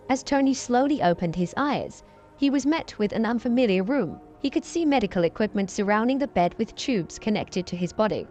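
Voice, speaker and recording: A woman reading a dramatic story in an even, unhurried scripted narration. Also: a little high.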